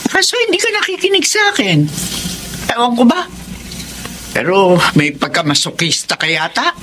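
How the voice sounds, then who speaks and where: A woman's voice: fairly high pitch, huge pitch swings, moderate pace, sharp tone, exaggerated voice. An actress playing an older, disapproving mother in a radio drama, scolding and weary, with a Filipino accent. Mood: exasperated. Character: exasperated, disapproving mother of a grown daughter. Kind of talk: Tagalog radio drama acting. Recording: clear noise behind the voice.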